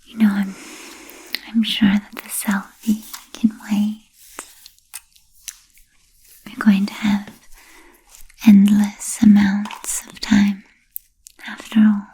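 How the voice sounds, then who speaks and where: An ancient, genteel vampire lady played by a woman whispers in a breathy, husky hush for an ASMR roleplay. She is fussy and politely absent-minded, drawing out formal phrases very slowly with hesitant gaps. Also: mid-range voice, completely flat delivery, full character voice, rough recording. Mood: gracious, musing. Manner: halting, hesitant, formal old-fashioned phrasing.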